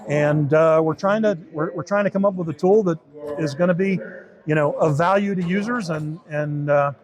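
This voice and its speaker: A man's voice: on the high side, moderate pace, crowd noise. A man giving an informal, step-by-step demo and explanation of how something works. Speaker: beta test supervisor giving a product demo.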